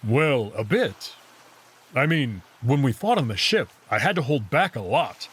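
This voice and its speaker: A man voicing a self-assured, teasing male wolf character from a visual novel, casual and gently bossy. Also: medium pitch, very animated, unhurried, slightly rough, full character voice. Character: confident, teasing male anthropomorphic wolf, commanding yet caring.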